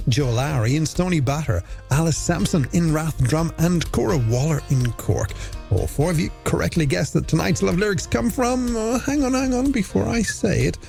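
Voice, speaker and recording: A man's voice: a little high, gravelly, a little mellow. A man presenting a late-night music radio show, chatting playfully and directly to listeners. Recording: radio broadcast over music bed.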